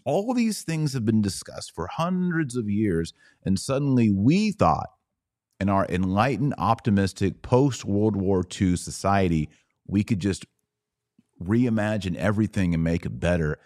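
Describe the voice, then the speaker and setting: A man's voice: low voice, a little mellow, dry recording, studio quality. A man hosting a religious podcast, talking casually and instructively straight to his online audience. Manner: conversational, didactic, addresses listeners directly. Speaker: podcast host.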